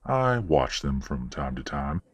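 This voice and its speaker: A man playing a condescending, manipulative werewolf father in an audio roleplay, speaking in a measured, patronizing and coldly genteel way. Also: sing-song, exaggerated voice. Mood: smug, needling. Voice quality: deep, husky. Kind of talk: audio roleplay drama acting.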